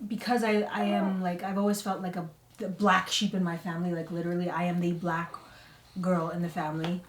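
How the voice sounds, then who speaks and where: A young woman co-hosting a family vlog, chatting candidly and laughing easily. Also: mid-range voice. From Canada, Toronto. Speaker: vlog co-host, new mother. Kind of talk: casual sit-down chat. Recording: echoey room, mic at a distance.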